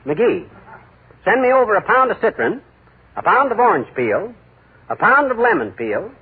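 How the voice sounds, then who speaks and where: An actor playing a boastful, bumbling middle-aged husband in a 1940s radio comedy, talking in a brash, wisecracking way. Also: male voice, high-pitched, very animated, moderate pace, breathy edge, exaggerated voice. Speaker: comic lead of a radio sitcom. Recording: noisy 1940s radio broadcast, lo-fi.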